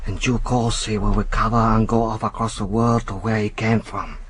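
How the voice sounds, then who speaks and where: A low, husky male village elder, grave and fatherly. He speaks formally, in the manner of a radio play. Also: steady tone, normal speed. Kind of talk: radio drama acting.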